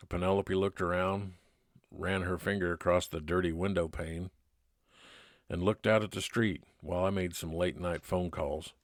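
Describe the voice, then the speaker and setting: A man playing a kindly, laconic 1950s private detective, narrating his case in old-time radio drama style with measured, plain-spoken delivery. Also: sits low, no echo, clean studio sound, lightly acted. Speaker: narrator and lead actor.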